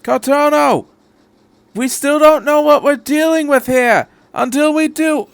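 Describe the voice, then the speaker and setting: A man's voice: very high-pitched, a little slow, vocal fry, dry recording, pitched above the performer's own voice, heavily acted. A man voicing an eccentric, commanding, protective doctor who calls out urgently in a story reading. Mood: alarmed. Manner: urgent shouting, calling out.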